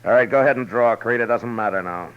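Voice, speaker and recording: An actor playing a stoic, laconic frontier marshal in a 1950s radio western, plainspoken and resolute. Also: male voice, mid-range voice, quick pace, lightly acted. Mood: grave, resolute. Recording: muffled noisy old radio recording.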